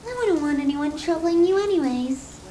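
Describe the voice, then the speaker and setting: Very high-pitched, sweetly sadistic yandere girlfriend. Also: expressive, moderate pace, warm tone, a little hiss or hum. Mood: playful, possessive. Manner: cutesy, affectionate, theatrical pet names. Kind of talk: ASMR roleplay, scripted monologue to the listener.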